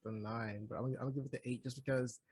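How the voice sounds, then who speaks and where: A man's voice: medium pitch, fairly even intonation, fast talker, darkish tone, slightly rough, no echo. A man co-hosting a movie review podcast, chatting casually in slang, cursing freely and repeating phrases to make his point.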